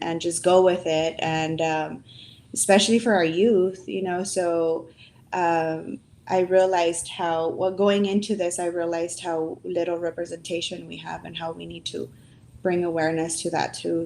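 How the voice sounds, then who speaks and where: A woman who is a parent advocate for her transgender daughter, speaking sincerely and haltingly with many ums and restarts. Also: fairly low pitch, darkish tone, slight background noise. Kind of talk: panel discussion.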